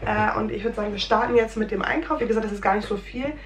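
Female, 30s, casual home vlogger, slightly unwell, rambling and filler-heavy; roomy kitchen sound, soft background hum. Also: medium pitch, fast talker. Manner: chatty, rambling, many fillers. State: a little under the weather. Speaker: food vlogger. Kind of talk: casual chat. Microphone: fixed camera at desk distance.

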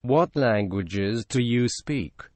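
A man reading short English phrases for learners, slow and clearly spaced. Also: medium pitch, expressive, no room sound.

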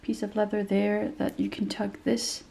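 A woman's voice: mid-range voice, flat delivery, normal speed, vocal fry, warm tone, a little hiss or hum. A young woman reviewing a handbag in a chatty, descriptive way, a bit nasal as she recovers from a cold, recorded in a small room. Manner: chatty and descriptive, many fillers. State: slightly nasal after a cold. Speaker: bag reviewer. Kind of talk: casual product review.

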